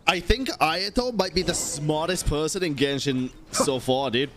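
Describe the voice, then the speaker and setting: A young man reacting live as he plays a video game on stream, excitable, slangy and sweary, with game music behind him. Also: fairly high pitch, normal speed, over a noisy crowd. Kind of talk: live gameplay reaction commentary.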